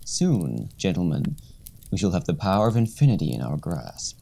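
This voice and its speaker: An actor playing a cool, all-seeing villain strategist in a radio play, speaking in formal, measured phrases. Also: low voice, very animated, gravelly, warm tone, husky, heavily acted. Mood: solemn, scheming.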